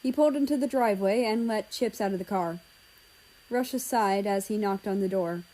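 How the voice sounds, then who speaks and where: A woman's voice: mid-range voice, normal speed, warm tone, rough recording. A woman narrating a written story as its reader.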